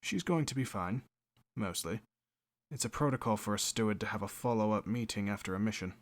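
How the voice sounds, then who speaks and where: Man acting a composed, low-voiced steward. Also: fairly quick, lightly acted. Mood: reassuring, wry. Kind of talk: audio drama narration and acting.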